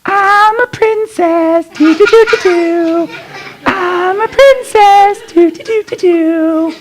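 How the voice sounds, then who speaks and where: A performer voicing a silly, dainty princess in a children's puppet show, squeaky and sing-song. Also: slow, background noise. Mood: carefree.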